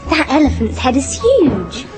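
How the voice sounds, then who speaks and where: High-pitched, excitable little British girl. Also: unhurried, over music. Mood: curious, excited. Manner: excitable, childlike, open. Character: eager, curious young British girl out with mother. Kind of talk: radio drama acting.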